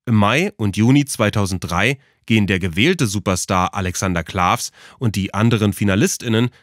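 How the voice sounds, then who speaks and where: A man's voice: fairly low pitch, moderate pace, no room sound, clean studio sound. A man reading scripted narration as the host of a documentary podcast.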